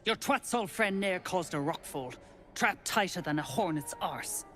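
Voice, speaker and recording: A man's voice: high voice, expressive, unhurried, sharp tone, faint music behind, full character voice. A man playing a foul-mouthed, greedy dwarf thug in a fantasy game, talking in a coarse, threatening way. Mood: surly, demanding.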